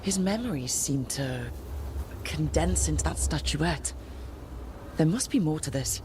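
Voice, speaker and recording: An actor playing a wry, curious adventurer hero in a fantasy game, casual and questioning. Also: male voice, on the high side, lively intonation, unhurried, noisy background. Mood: pensive. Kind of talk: game dialogue. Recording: loud music behind the voice.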